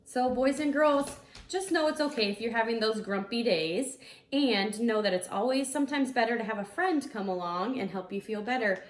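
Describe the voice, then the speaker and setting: A woman reading a storybook aloud to young children in an expressive, deliberate manner. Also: on the high side. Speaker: school instructional coach reading aloud. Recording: roomy, echoey sound.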